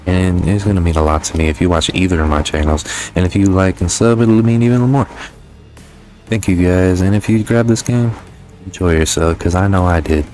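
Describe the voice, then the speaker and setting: A young man with a low-pitched voice gives an informal game review commentary. His talk is loose and peppered with swearing, with faint music behind him. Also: brisk, a little mellow. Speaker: gaming YouTuber.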